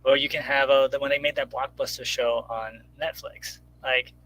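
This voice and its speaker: A man's voice: mid-range voice, steady tone, normal speed, bright, forceful tone, slight background noise, rough recording. A man in a casual pop-culture discussion, explaining his opinions through examples as a panelist. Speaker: talk show panelist.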